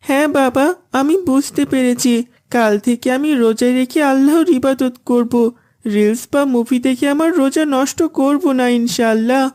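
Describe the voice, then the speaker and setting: A woman's voice: high-pitched, fairly even intonation, normal speed, a little mellow, close to an everyday voice. A woman voicing a meek, earnest young boy in a children's cartoon, with a plaintive, innocent manner. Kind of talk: Islamic children's cartoon dubbing.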